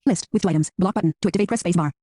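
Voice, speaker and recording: Synthetic screen reader: robotic, flat announcements at breakneck speed, completely dry sound. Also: medium pitch, rapid-fire, husky, no room sound. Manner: flat robotic reading of interface labels.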